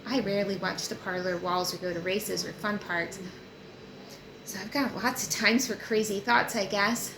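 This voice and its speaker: A woman's voice: a little high, lightly acted. A woman voicing a chatty, guileless, inquisitive teenage girl from a novel in an audiobook reading. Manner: inquisitive, earnest. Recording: roomy echo with clear background noise.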